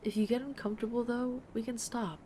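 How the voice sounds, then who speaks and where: A woman playing a gentle, affectionate dragon friend in an intimate comforting audio roleplay, speaking softly and tenderly to the listener. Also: on the high side, fairly even intonation, slight background noise, natural voice. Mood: caring, reassuring. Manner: soft-spoken, tender, unhurried reassurance. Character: gentle, affectionate female dragon friend who loves soft, cuddly plushies.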